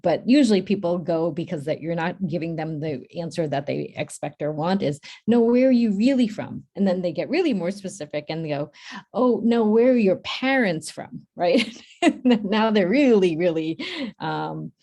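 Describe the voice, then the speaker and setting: Casting: female workshop host, low creaky voice, halting informal advice-giver who often rephrases herself. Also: expressive, dark tone. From Boston, United States. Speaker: workshop host and centre staff speaker. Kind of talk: informal workshop advice over video call. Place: virtual workshop video call.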